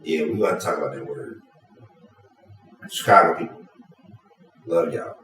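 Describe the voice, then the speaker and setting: A man with a very low voice chats on a podcast, teasing his guest playfully and laughing easily. He sits back from the mic in an echoey room. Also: normal speed, a bit bright, far from the mic, slight background noise. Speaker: podcast host. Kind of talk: casual chat.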